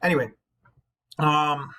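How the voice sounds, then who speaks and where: A man's voice: a little high, slow, bright, forceful tone. A man working as a teacher, reading a story aloud like a storybook and explaining it in casual, conversational asides.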